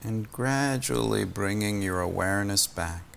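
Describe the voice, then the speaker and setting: A man leading a guided meditation as a teacher, speaking gently and soothingly, with long pauses between phrases. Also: on the low side, gravelly, slight background noise.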